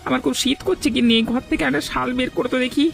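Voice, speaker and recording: An extremely high, rapid voice of a whining village husband acted by a man. He grumbles helplessly with a creaky edge, in cartoon style over faint music. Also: steady tone, a bit bright, exaggerated voice. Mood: dismayed. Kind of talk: Bangla fairy tale cartoon voice acting.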